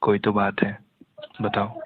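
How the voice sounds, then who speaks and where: Male on a phone call, monotone casual chat, narrow tinny line. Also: on the low side, takes it slowly, breathy edge. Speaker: call recipient in a phone conversation.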